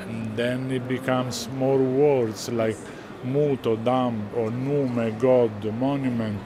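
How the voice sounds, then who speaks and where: A middle-aged man with an Italian accent explaining artworks to visitors, pausing often to search for words. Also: medium pitch, fairly even intonation. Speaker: art gallerist presenting works. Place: large indoor art fair hall. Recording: busy hall crowd noise.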